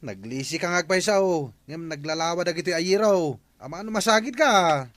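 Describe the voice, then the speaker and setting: A man's voice: a little high, lively intonation, fairly quick, a bit bright, no echo. A man with an Ilocano Filipino accent playing a cheeky, taunting villager in a radio comedy drama. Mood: warning, cheeky. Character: cheeky village man teasing and warning an older neighbour.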